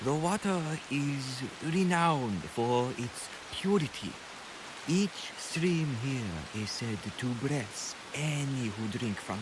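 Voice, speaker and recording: An actor playing an earnest, loyal ally in historical Japan in a video game cutscene, speaking in a halting, deliberate way. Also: male voice, mid-range voice. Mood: solemn, halting. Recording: hiss and rain noise behind.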